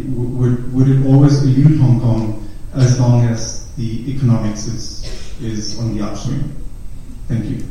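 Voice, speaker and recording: Flat, dark-voiced man asking from the floor. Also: mid-range voice, slight background noise. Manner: hesitant, with fillers and restarts. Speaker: audience member asking a question. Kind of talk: question from the floor. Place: lecture hall at a public forum. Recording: roomy hall, voice from far off.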